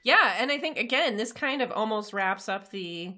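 Bright-voiced woman, casual co-host. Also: on the high side, lively intonation, normal speed. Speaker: podcast co-host. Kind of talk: casual episode recap chat.

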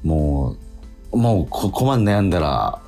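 A man's voice: a bass voice, very animated, a little slow, gravelly, dark tone, faint music behind. A man hosting a radio show, chatting casually about acting in an earnest, self-questioning way with frequent affirming interjections. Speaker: radio show host and stage actor. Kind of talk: casual radio talk between actors.

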